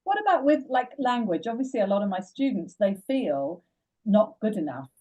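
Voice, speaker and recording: A woman's voice: medium pitch, very animated, moderate pace, mellow, lo-fi sound. A woman hosting an interview, an English teacher asking thoughtful questions. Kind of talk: interviewing a guest. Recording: distant mic.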